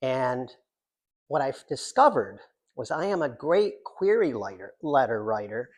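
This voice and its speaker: An older American man, a poet introducing his work at a reading in an informal, halting, digressive way with wry, self-deprecating humour. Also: mid-range voice, very animated. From Central New York, United States. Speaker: poet reading from own book. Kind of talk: informal author talk at a poetry reading.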